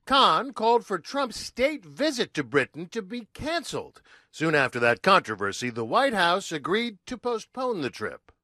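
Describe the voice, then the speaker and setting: A man's voice: high voice, sing-song, moderate pace, pushed and bright, no room sound, studio quality. A man reporting the news in scripted narration.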